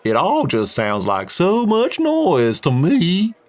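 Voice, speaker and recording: A man's voice: mid-range voice, lively intonation, normal speed, voice pushed up. A man voicing a blunt, boastful middle-aged oil tycoon. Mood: loud, jovial. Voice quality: folksy drawl, tobacco-spitting. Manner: loud, plain-spoken, boastful.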